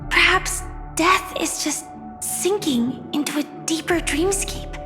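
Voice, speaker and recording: An actress playing a gentle, earnest, quietly brave young woman in a video game story, thoughtful and sincere in her delivery. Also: very high voice, slow. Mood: pensive. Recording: long reverb, music behind.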